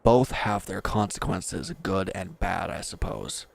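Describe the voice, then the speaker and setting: Man acting a gravelly, bitter defeated villain. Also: deep voice, measured pace, full character voice. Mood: sardonic, accusing. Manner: sardonic, accusing, weary. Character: bitter wounded villain, grieving and accusing. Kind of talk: scripted audio roleplay addressed to the listener.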